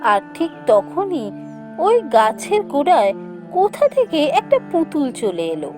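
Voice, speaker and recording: High, lively woman storyteller over music. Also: a little slow. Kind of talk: scripted fairy tale narration. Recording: clear music behind the voice.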